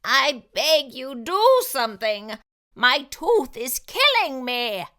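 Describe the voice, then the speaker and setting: A woman's voice: high voice, big pitch swings, pushed and bright, voice pushed up, heavily acted. A woman voicing a sly, self-pitying male fox in a children's storybook, drawing words out in a pleading whimper. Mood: desperate, whimpering. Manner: wailing, drawn-out, whimpering delivery.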